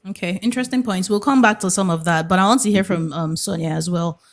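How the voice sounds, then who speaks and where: A woman's voice: fairly low pitch, fast. A woman hosting a panel discussion, asking questions and handing turns to guests. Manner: inquisitive, steering the conversation. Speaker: host.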